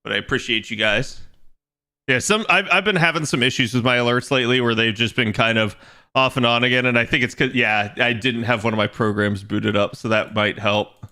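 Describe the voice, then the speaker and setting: A young man chatting casually on his game stream, rambling and restarting as he thinks aloud, with a slightly raspy voice today. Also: medium pitch, fairly quick, bright, forceful tone. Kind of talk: casual livestream chat.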